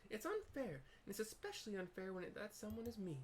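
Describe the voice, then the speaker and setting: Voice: medium pitch, big pitch swings, fast talker, voice pushed up, close to an everyday voice. A man voicing an upbeat, chatty young woman adventurer who is easily miffed in a fantasy game. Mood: miffed, playful. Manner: chatty, exclaiming.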